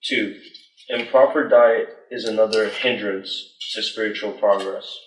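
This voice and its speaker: A man's voice: lowish voice, fairly even intonation, a little slow, a little hiss or hum. A young man from northern Iran reading a passage aloud to a study group, then explaining it in a hesitant, casual way with many fillers. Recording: echoey room, mic far off.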